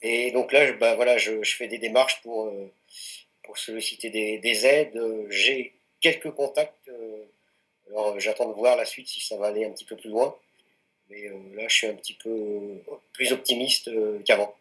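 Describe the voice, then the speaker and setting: A man in his late forties from France's Anjou region, a mountaineer answering interview questions in a relaxed, hesitant way with many fillers. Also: lowish voice, moderate pace, poor audio. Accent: French. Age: 40s. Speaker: mountaineer guest.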